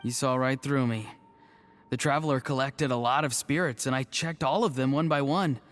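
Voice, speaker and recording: A man's voice: mid-range voice, fairly even intonation, moderate pace, fairly bright tone, lightly acted. An actor playing a mild, polite and dutiful young man in a video game cutscene. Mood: sober reporting. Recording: music behind the voice. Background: background music.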